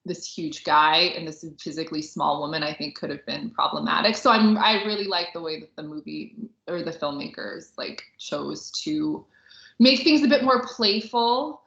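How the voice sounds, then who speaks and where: A woman's voice: sits low, moderate pace, creaky, fairly bright tone. A woman co-hosting a podcast, chatting casually and informally about books and films, with plenty of "like" in her talk. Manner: chatty, informal, heavy use of "like". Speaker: podcast co-host. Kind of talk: casual book and film discussion. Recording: roomy echo, slightly dull sound.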